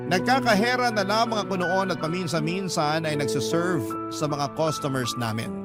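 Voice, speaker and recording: Higher-voiced man storytelling over loud music. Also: normal speed. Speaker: radio host reading listeners' letters. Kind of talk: dramatic scripted storytelling, radio love story.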